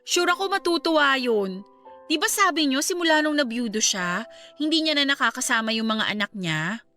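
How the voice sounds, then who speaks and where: A woman acting a polite young niece in a radio drama, reassuring and respectful, over soft music. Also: high voice, animated, normal speed, full character voice. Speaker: niece about to work abroad.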